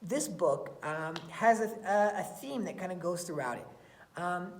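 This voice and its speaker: Expressive woman teacher in an echoey room. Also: on the low side, a little slow, a little hiss or hum. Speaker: teacher reading aloud to students. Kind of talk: expressive read-aloud and lesson talk for children. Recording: roomy, echoey sound.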